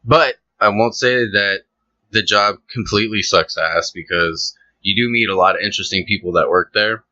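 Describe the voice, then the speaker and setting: A man's voice: on the low side, normal speed, pushed and bright, no echo, muffled recording. A young man co-hosting a casual podcast, talking in a laid-back, joking, rambling way with fillers. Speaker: podcast co-host.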